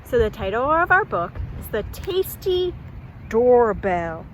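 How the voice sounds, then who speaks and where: A woman telling a folktale at a children's storytime, pausing to ask young listeners questions. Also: on the high side, sing-song, slight background noise, poor audio.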